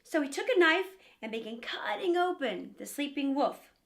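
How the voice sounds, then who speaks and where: A woman narrates a children's story with great expression. Her voice is high and moves freely up and down as she tells the tale. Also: big pitch swings, moderate pace.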